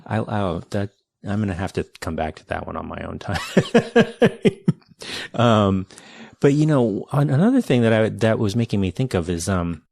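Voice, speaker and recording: A man's voice: fairly low pitch, sing-song, normal speed, creaky, a little mellow, dry recording. A man hosting a podcast, speaking thoughtfully and searching for words. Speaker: podcast host. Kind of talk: casual interview chat.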